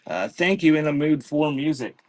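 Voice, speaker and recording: A man's voice: fairly high pitch, animated, normal speed, darkish tone, no echo. A man hosting a live-stream auction, announcing items in short clipped phrases and chatting casually with viewers in between. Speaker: live auction seller and host.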